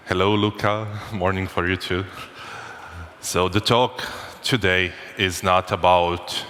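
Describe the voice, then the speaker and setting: A man's voice: deep voice, a bit bright. A man from Croatia giving a joking, informal conference talk with restarts. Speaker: conference speaker. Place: conference hall. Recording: long hall reverb.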